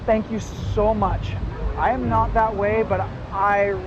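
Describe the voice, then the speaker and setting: A man's voice: high voice, little pitch movement, slow pace. Earnest American man, noisy muffled outdoor recording. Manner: earnest, rambling, heavy swearing. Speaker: livestreamer. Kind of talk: casual street chat. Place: outdoors in a city at night.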